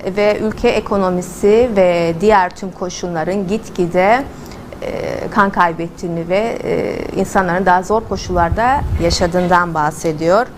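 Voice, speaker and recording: A woman's voice: lowish voice, a little hiss or hum. A woman hosting a live TV interview, asking questions and interpreting the answers with frequent hesitations. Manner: halting, frequent fillers. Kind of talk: live TV interview, switching languages.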